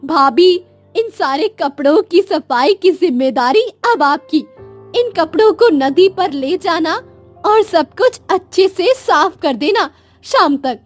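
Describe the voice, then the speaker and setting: A woman's voice: extremely high pitch, expressive, takes it slowly, faint music behind, heavily acted. A woman voicing scheming, spiteful female in-laws in a cartoon moral story, with a haughty, scornful manner. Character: scheming, scornful mother-in-law and spiteful daughters.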